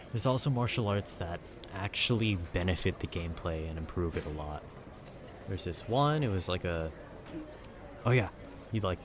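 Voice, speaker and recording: A man casually rambling about a video game with nerdy enthusiasm, often halting to search for words. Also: low-pitched, background noise. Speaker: voice actor making a rambling ambience video.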